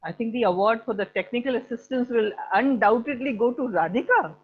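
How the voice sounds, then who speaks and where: A woman's voice: on the high side, animated, brisk, husky, narrow, tinny audio. A woman teacher facilitating an online class and inviting students to present.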